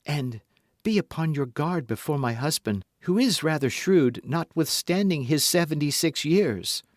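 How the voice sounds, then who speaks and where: A man's voice: fairly high pitch, lively intonation, measured pace, dry recording, clean studio sound, pitched above the performer's own voice. A man reading an audiobook, voicing a proud, anxious lawyer's wife in a raised, pleading voice. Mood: scheming. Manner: piqued, pleading.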